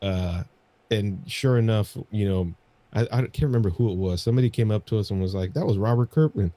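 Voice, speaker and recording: Man, lowish mellow voice, dry sound, casual storytelling, rambling, repeats himself, podcast co-host. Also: brisk, dry recording. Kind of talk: casual chat, telling anecdotes.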